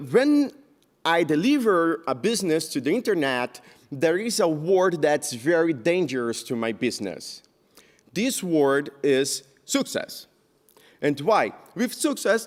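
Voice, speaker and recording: Brazilian man talking in an echoey hall. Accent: Brazilian Portuguese. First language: Portuguese. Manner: halting, many filler sounds, lively pitch jumps. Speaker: conference speaker. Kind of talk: technical conference talk. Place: large conference hall stage.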